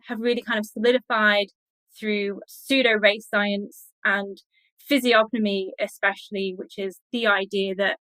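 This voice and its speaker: A woman's voice: medium pitch, bright, forceful tone, no echo, rough recording. A woman speaking as an academic guest in an interview, explaining things in a thoughtful, scholarly way.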